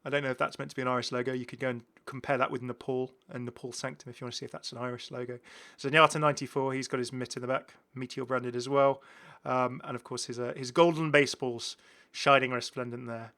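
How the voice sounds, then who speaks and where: Bright-voiced man chatting about game cosmetics. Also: medium pitch, flat delivery, fast, hardly any pauses. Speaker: gaming video host. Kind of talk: casual commentary on game cosmetics.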